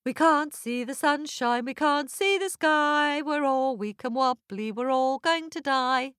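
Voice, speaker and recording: A woman's voice: medium pitch, very animated, slow pace, a bit bright, no room sound, clean studio sound. A woman voicing a chorus of starving, swallowed people who moan and howl weakly. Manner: howling and moaning.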